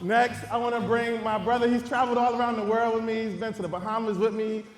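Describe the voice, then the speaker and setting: A man's voice: very high-pitched, fast talker, echoey room, long reverb tail, over music. A man hosting a live panel and hyping up each guest as he introduces them. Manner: hype, energetic introductions. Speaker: podcast host and panel moderator.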